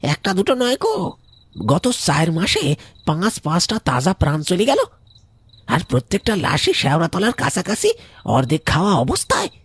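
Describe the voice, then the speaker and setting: Man acting a very raspy, swooping anxious villager. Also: sits high, wildly swooping pitch, moderate pace, a bit bright, no room sound, exaggerated voice. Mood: grim, insistent. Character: anxious village man urging action against evil. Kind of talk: Bengali cartoon horror story acting.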